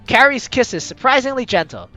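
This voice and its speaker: Lively, bright-voiced young woman gamer. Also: on the high side, fairly quick, lo-fi sound, faint music behind. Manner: theatrical, teasing asides. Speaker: gaming video host. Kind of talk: animated let's-play read-aloud and commentary.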